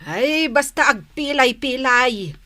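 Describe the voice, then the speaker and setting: A woman's voice: high-pitched, expressive, takes it slowly, bright, forceful tone, no echo, exaggerated voice. A woman acting as a concerned, nagging wife and mother in a radio comedy drama, with an Ilocano accent and a scolding, exclamatory delivery.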